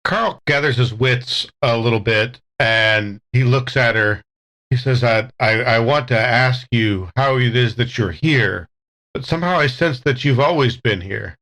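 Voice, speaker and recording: A man's voice: medium pitch, unhurried, sharp tone. A man playing a tabletop game, casually and thoughtfully describing what his character does and says.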